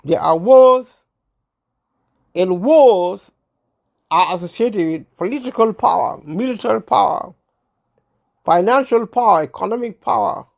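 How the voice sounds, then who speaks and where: A man of sixty from Uganda, hosting his own channel and talking to camera in a halting way, with many pauses, fillers and restarts, clearing his throat as he is unwell. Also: sits high, expressive. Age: 60s. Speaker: channel host. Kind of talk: casual talk to camera.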